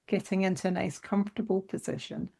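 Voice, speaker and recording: A woman leading a guided meditation in a soothing, unhurried manner with gentle instructions. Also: mid-range voice, steady tone, creaky.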